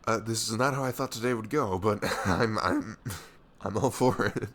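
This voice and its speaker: A man playing a tender, nervous best friend in an intimate ASMR roleplay, speaking softly and hesitantly in a low, gravelly voice close to the mic. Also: moderate pace. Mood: overjoyed. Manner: hesitant, stammering, soft-spoken.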